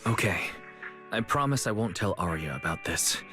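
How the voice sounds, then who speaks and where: A man's voice: sits low, expressive, moderate pace, sharp tone, soft background music. A man playing a kind, tactful, dependable young store manager in a video game, speaking politely. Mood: reassuring. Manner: polite, considerate.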